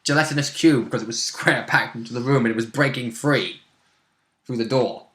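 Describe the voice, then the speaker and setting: Male tabletop player, forceful bright tone, medium pitch, jokey casual chat, roomy recording. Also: fairly quick. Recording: echoey untreated room.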